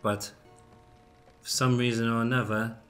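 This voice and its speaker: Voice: medium pitch, flat delivery, unhurried, soft background music, lightly acted. A performer in an improvised comedy radio play, acting a touchy, awkward male reverse centaur who dithers theatrically. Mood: chatty.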